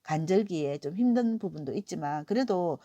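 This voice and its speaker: A middle-aged woman talks through a weekly tarot reading, her voice hoarse from a cold. She sounds low and mellow, easing along with soft fillers and warm encouragement. The recording is close and dry. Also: expressive, normal speed, no echo, lo-fi sound. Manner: gentle, encouraging, many "eo" fillers. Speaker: tarot reader.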